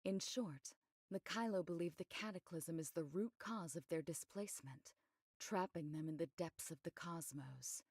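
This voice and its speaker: Composed, creaky-voiced investigator. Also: female voice, lowish voice, darkish tone, no echo, studio quality. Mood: matter-of-fact. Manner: measured, matter-of-fact, explanatory. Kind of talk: scripted anime game voice acting.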